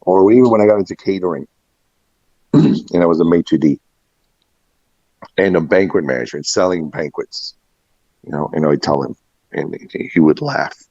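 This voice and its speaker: Deep-voiced middle-aged man in an echoey room. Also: normal speed, pauses often, dark tone. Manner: reflective, anecdotal, quoting past conversations. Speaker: restaurant and catering veteran being interviewed. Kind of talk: personal storytelling in a casual interview. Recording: muffled, echoey room recording.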